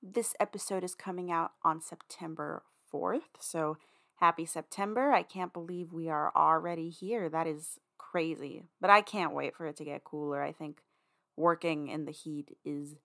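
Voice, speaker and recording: A woman's voice: sits low, moderate pace, vocal fry, fairly bright tone. A woman podcast host chatting casually to listeners in an informal outro.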